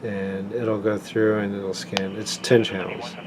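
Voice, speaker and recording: A man's voice: lowish voice, a little slow. A man giving a casual, plain-spoken product demonstration in an informal walkthrough style. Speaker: product reviewer. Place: indoors, home tabletop recording. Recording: clear steady air-conditioning room noise.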